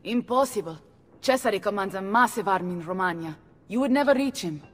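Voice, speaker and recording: A woman's voice: mid-range voice, lively intonation, a little fast, a little hiss or hum, close to an everyday voice. An actress playing a bold, sardonic Italian noblewoman warrior with an Italian accent, fiery and commanding in a video game drama. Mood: firm. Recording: loud music over the voice.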